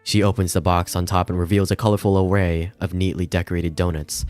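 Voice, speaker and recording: Low-voiced man narrating over music. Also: level tone, a little fast, a little mellow, no echo. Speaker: streamer reading a visual novel aloud. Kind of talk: scripted narration with casual asides. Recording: music behind the voice.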